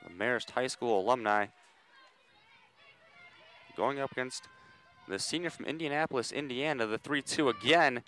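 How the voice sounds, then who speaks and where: A man calling a softball game live on the radio, measured between plays and ready to burst into excited calls. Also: mid-range voice, soft background music. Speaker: play-by-play sports commentator.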